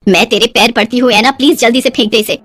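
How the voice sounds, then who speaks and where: A woman's voice: on the high side, quick pace, a bit bright, soft background music. A woman voicing an exasperated middle-aged mother-in-law in a story, scolding and lamenting dramatically.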